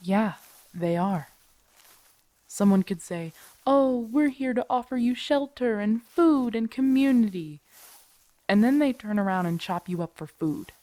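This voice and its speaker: A woman's voice: fairly high pitch, expressive, unhurried, warm tone, no room sound. A woman acting in an audio roleplay as a grumpy, protective zombie apocalypse survivor, blunt and sardonic, talking intimately to her companion. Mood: emphatic, cynical.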